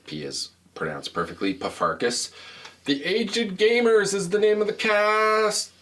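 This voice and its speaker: A man with a deep voice co-hosting a casual gaming podcast, jokey and rambling, laughing often. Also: sing-song, measured pace, bright, forceful tone. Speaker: podcast co-host.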